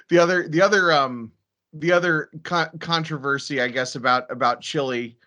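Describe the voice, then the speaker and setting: A man's voice: on the high side, measured pace, long pauses, sharp tone. A man from Ohio hosting a casual podcast interview, often stammering and repeating words as he forms questions. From Ohio, United States. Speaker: podcast host.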